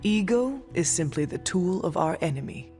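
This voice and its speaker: An actor voices a solemn, resolute warrior who hunts demons in a video game. The voice is mid-pitched and grave, with formal, measured phrasing. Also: lively intonation, darkish tone, faint music behind, close to an everyday voice. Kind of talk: video game cutscene dialogue.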